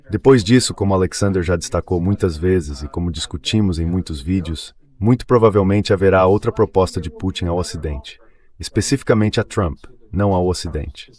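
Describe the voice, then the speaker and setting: Low-pitched synthetic male commentator. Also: darkish tone. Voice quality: AI-generated dubbed voice. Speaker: political commentator guest. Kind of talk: geopolitical analysis in an interview.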